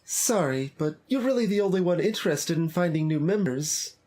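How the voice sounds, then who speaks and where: Man putting on a blunt, higher-voiced teenage schoolgirl. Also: lively intonation, moderate pace, darkish tone, lightly acted. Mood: blunt, insistent. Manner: earnest, argumentative. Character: blunt, assertive teenage schoolgirl in a literature club. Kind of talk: character voice reading visual novel dialogue. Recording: game music behind the voice.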